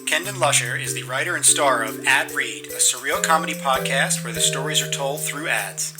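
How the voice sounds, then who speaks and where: Male announcer, medium pitch, scripted credits read, prominent music behind. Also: moderate pace.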